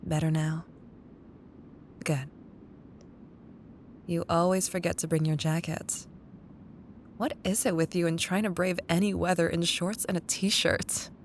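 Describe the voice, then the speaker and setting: A woman playing a caring, wistful young childhood best friend in an intimate, gently teasing ASMR roleplay. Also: steady tone. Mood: fussing, caring. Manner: tender, unhurried, teasing. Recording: audible low rumbling background noise.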